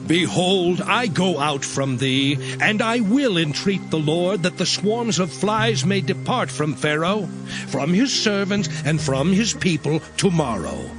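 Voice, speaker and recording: An actor playing a solemn prophet in a scripture drama, speaking in a declamatory, commanding, deliberate way. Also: male voice, high voice, big pitch swings. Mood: firm, insistent. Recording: music behind the voice.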